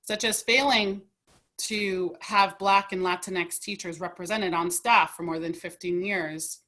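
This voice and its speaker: A woman of middle pitch reads out a prepared statement at a community meeting. Her delivery is earnest and deliberate. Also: a bit bright. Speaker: community speaker.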